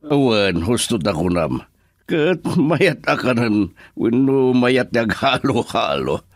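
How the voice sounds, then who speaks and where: A man's voice: mid-range voice, big pitch swings, takes it slowly, no echo, studio quality. A man with an Ilocano accent playing a stern, controlling boss in a radio drama. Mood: businesslike. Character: bossy male employer, controlling and stern.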